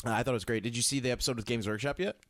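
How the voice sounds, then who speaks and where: A man's voice: mid-range voice, racing, fairly bright tone. A man chatting casually as a co-host, blunt, sweary and enthusiastic. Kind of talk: casual, joking chat.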